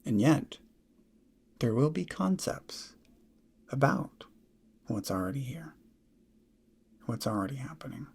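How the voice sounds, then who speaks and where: A man guiding a meditation in soft, breathy, measured short phrases with long pauses, often trailing into a near whisper. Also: mid-range voice, big pitch swings. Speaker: meditation guide. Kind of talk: guided meditation, slow instruction.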